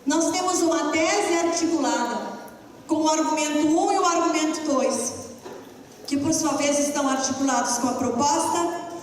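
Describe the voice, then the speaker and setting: A woman's voice: sits high, normal speed, far from the mic. A woman teacher with a southern Brazilian accent, lecturing a class directly and teasingly. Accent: southern Brazilian (Rio Grande do Sul). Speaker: essay-writing teacher. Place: large classroom. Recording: echoey hall recording from afar with faint hum.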